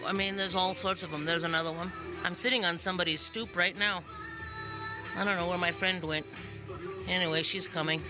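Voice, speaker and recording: A woman's voice: fairly low pitch, fairly even intonation, a little fast, over music, rough recording. A middle-aged woman, chatting casually and emphatically to a camera held at arm's length, often repeating words for effect. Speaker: vlogger. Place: mostly outdoors in hilly town streets, partly indoors. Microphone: phone or camera at arm's length.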